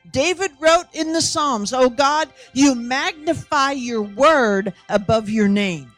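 Woman, sixties, high voice, sermon, emphatic delivery, music behind. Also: slow, a bit bright. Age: 60s. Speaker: preacher. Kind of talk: sermon delivered in short phrases for interpreting.

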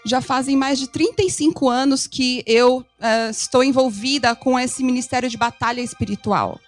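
A woman's voice: high-pitched, moderate pace, soft background music. A woman with a Brazilian accent giving live consecutive interpretation of a sermon, phrase by phrase.

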